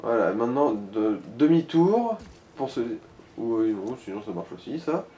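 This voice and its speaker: A man's voice: mid-range voice, very animated, unhurried, mellow, reverberant, muffled recording. A man giving casual, sweary gaming commentary as he talks a co-player through directions. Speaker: co-host of a gaming let's play.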